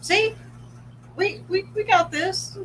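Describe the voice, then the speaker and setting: A young woman co-hosting a casual livestream, relaxed and agreeable, chuckling now and then. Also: very high-pitched, slow, slight background noise. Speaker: co-host, host's wife.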